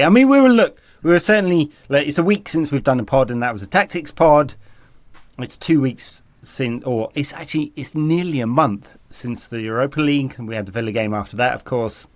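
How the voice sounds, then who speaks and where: A man co-hosting a football podcast, chatting casually with a slight cold that makes him a little raspy, often rambling and correcting himself. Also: mid-range voice, no echo. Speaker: podcast co-host.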